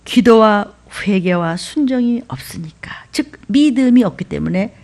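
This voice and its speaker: A middle-aged woman pastor with a Seoul accent, preaching a sermon in an emphatic, rhythmic way, dropping to whispers and rising to shouts. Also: medium pitch, expressive, a little slow. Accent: Seoul dialect.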